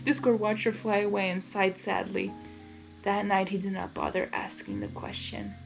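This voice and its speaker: Excitable woman reading a story over music. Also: mid-range voice, normal speed, gravelly, low-quality recording. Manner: informal, excitable, gushing in asides. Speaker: host reading fan fiction aloud. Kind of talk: storybook narration with casual reaction asides.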